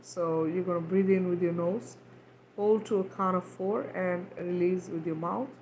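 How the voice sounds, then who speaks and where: Woman from Kenya, lowish voice, rambling livestream healer, faint hiss behind. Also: steady tone, a little slow, a little mellow, rough recording. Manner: loose, repetitive, frequent "right?" and fillers. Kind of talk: casual livestream talk, guided healing.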